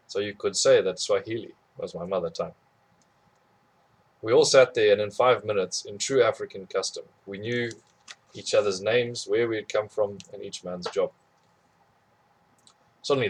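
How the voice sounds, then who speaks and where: A man reading a memoir aloud in a plain, steady storytelling manner. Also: lowish voice, breathy edge, rough recording. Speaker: audiobook reader.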